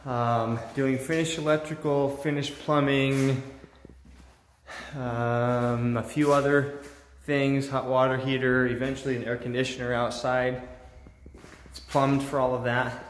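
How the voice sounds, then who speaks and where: Unhurried American man in an echoey room. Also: a little high, a bit bright. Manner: enthusiastic, admiring, informal. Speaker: vlogger giving a workshop tour. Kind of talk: casual handheld video tour. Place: mostly inside an empty unfinished workshop building. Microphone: phone at arm's length. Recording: echoey empty room, clear background noise.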